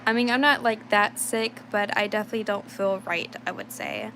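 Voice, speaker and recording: Slightly raspy sick young woman streamer. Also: mid-range voice, a little fast, pushed and bright, slight background noise. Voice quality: slight rasp. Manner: rambling, thinks aloud, many fillers. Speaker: livestreaming digital artist. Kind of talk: casual chat while drawing on stream.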